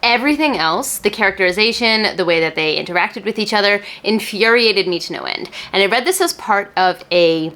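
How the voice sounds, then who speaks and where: A woman hosts a casual book vlog, speaking straight to the viewer at a medium pitch. Her delivery is chatty and animated, with emphatic stress on the words that matter to her. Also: brisk, hardly any pauses. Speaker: book reviewer and video host.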